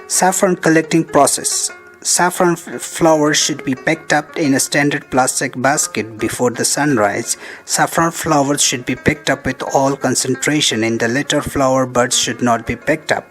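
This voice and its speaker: A man's voice: mid-range voice, moderate pace, few pauses, a bit bright, poor audio. Male instructional narrator over music. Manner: formal, reading out step by step. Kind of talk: scripted instructional film narration. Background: background music.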